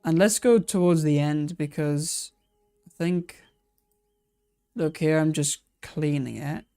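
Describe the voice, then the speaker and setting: A casual live streamer muses over old aquarium setups in a smooth, clear voice, hesitating often and restarting sentences. They read viewer comments aloud and answer them as they go. Faint music plays softly underneath. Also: mid-range voice, slow. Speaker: aquarium channel host.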